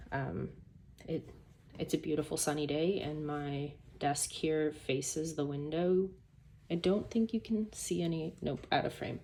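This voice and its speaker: A woman with a hoarse voice, low and gravelly with a lot of fry, chats casually at her home desk. She rambles through self-deprecating asides over a faint hum of room noise. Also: warm tone. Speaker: planner YouTuber. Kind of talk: casual chatty vlog. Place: home desk by a sunny window.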